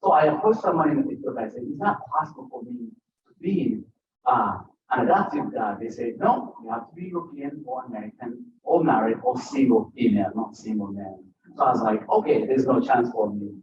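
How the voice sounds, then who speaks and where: A man's voice: mid-range voice, a little fast, a little hiss or hum. A man from Uganda speaking at an author event, chatting with the audience and joking between stories. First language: a language other than English. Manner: conversational, jokes with the crowd. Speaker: author at a book talk. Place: bookstore event room. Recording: roomy, distant, dull bookstore recording.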